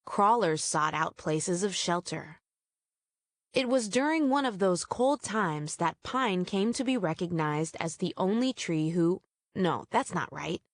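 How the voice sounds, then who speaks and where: A woman storyteller reading a scripted children's story aloud in deliberate, measured phrases. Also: on the low side, lively intonation, no room sound, clean studio sound.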